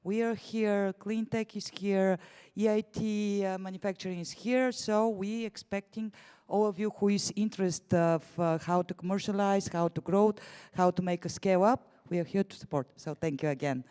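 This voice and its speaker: A man with a high-pitched voice moderates a conference session. He speaks halting, effusive English with non-native phrasing. A long hall reverb surrounds his voice. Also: fairly even intonation, mellow, smooth. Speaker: event moderator.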